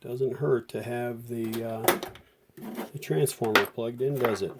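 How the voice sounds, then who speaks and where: A man's voice: mid-range voice, fairly even intonation, takes it slowly, very noisy. A middle-aged man giving a casual hands-on repair tutorial, talking in an informal, folksy way as he works. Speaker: host of a vintage radio repair channel.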